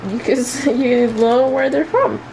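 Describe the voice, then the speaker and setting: A woman with a fairly high voice talks in a casual, chatty vlog style. Her delivery rambles and is lightly self-deprecating. Clear ambient noise runs behind her voice. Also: measured pace, a little mellow, low-quality recording. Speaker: vlogger.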